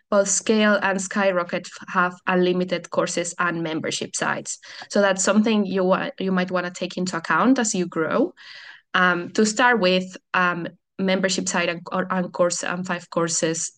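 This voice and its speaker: A Catalan woman from Barcelona on a video call, hosting a software tutorial webinar and explaining things in a friendly way with frequent ums and restarts. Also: fairly low pitch. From Catalonia, Spain. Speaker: customer support webinar host.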